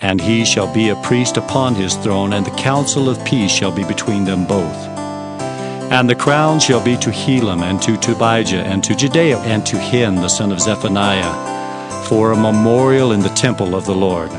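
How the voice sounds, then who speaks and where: An actor playing an earnest Hebrew prophet, narrating visions in a solemn, emphatic, declamatory scripture-drama style. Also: male voice, lowish voice, moderate pace, natural voice. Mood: proclaiming. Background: music.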